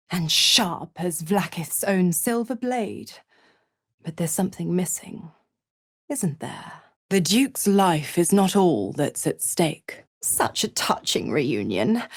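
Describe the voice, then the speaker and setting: A woman's voice: medium pitch, no echo, clean studio sound, full character voice. An actress playing a seductive, scheming female devil in a video game, mocking and purring with condescending endearments. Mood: mock sympathy. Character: seductive, scheming, gloating female devil who makes pacts. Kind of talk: theatrical game character dialogue.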